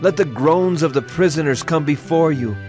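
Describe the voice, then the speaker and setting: A man's voice: fairly high pitch, moderate pace, a little mellow. A man giving a solemn, declamatory dramatized reading of scripture as an audio Bible narrator. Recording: music under the voice. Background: music.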